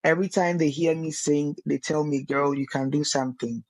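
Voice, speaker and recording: A woman's voice: deep voice, steady tone, moderate pace, a little mellow, dry recording. Earnest woman, dry close mic. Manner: earnest, repeats words when searching for them. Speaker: guest singer. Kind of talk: casual podcast interview.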